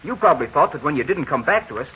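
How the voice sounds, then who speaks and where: An eager young sidekick, earnest and anxious, his voice bright and clear. It plays on a dull old radio transcription with a faint hiss under it. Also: a little high, big pitch swings, very fast. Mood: worried. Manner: earnest, worried, boyish exclamations. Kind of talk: 1940s radio acting.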